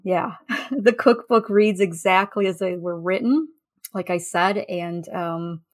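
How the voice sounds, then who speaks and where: A woman guest in a conversational podcast interview, telling anecdotes in a halting, thinking-aloud way. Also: on the low side. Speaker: interview guest, food history researcher.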